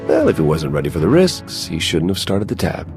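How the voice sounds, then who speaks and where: Game soldier sidekick, sardonic, very deep, faint music behind. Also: male voice, huge pitch swings, a little fast. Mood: wry. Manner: dry, sardonic. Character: wry, grumbling male soldier sidekick. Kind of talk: video game cutscene acting.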